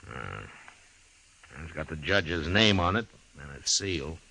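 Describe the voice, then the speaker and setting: Deep voiced old radio cowboy lawman, dry and understated, firm bright edge, bit of hiss. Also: sing-song, slow, gravelly, husky, full character voice, low-quality recording. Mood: dry, skeptical. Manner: laconic, skeptical, understated. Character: steady, dry-witted frontier marshal, a weary lawman. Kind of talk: old-time radio western acting and narration. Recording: hissy old radio recording.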